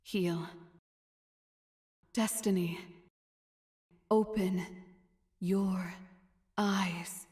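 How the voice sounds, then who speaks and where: A woman's voice: fairly low pitch, fairly even intonation, dark tone. A woman voicing a mysterious, commanding dream spirit in a fantasy game, with a portentous delivery. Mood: solemn, eerie. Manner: slow, portentous.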